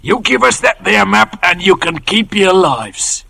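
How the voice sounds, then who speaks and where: Sly old-radio boss, high gravelly voice, talk, swoops all over. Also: male voice, lively intonation, measured pace, bright, forceful tone, heavily acted. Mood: bargaining, sly. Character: one-legged pirate leader, sly, wheedling, menacing. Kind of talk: 1950s radio drama acting.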